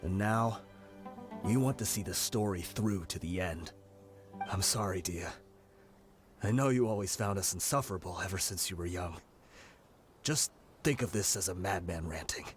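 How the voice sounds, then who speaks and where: Low, solemn mercenary leader, acted by a man. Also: normal speed, long pauses, soft background music, natural voice. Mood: resigned, remorseful. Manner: solemn storytelling. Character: grave, guilt-ridden veteran desert mercenary leader. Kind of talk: video game voice acting.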